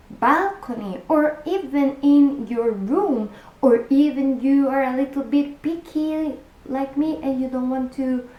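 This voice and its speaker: A woman from Buenos Aires, a native Spanish speaker with an Argentine accent, talks viewers through her packing tips in a chatty, roundabout way. Her voice sits a little high and she takes her time, in a room with a noticeable echo. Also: lo-fi sound. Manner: chatty, restarts and self-corrections, direct address to viewers. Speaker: cruise vlogger. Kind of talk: casual packing-tips vlog.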